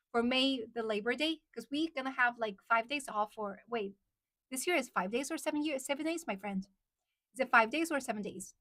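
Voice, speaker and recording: A woman's voice: fairly high pitch, fairly bright tone, no room sound, studio quality. Chatty woman livestreamer. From China. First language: Chinese. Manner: chatty, repeats questions aloud, switches between English and Mandarin. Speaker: livestream host. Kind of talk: casual livestream chat reading viewer comments.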